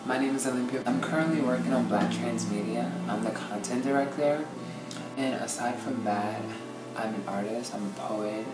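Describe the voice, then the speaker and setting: A woman's voice: very deep voice, moderate pace. A young woman speaking earnestly and reflectively in a documentary interview. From born in Maryland, raised in Puerto Rico and Brooklyn. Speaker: interviewee, artist and poet. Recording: roomy sound with music underneath.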